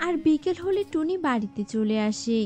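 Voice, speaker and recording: A woman's voice: high-pitched, expressive, normal speed, warm tone, faint music behind. A woman narrator reading a scripted children's cartoon story.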